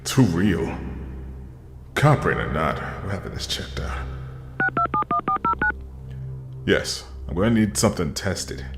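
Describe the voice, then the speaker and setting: Low, gravelly, weary detective played by a man. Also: sing-song, a little slow, over loud music, full character voice. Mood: weary, terse. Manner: gruff, terse, dry. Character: world-weary, dry male police detective. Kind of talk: audio drama acting.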